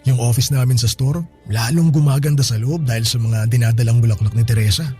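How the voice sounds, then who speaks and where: A man's voice: medium pitch, brisk, warm tone, husky, faint music behind, lightly acted. An actor playing a friendly, good-humored male store boss with a Filipino accent, chatting easily in a radio drama. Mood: warm, chatty. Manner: chatty, chuckling between remarks. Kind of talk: Tagalog radio drama acting, conversational.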